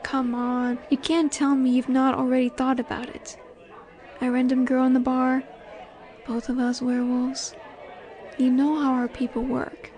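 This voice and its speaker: Woman acting a high-voiced, slow-teasing werewolf alpha girl. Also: mellow. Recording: bar crowd ambience behind voice. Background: bar chatter ambience.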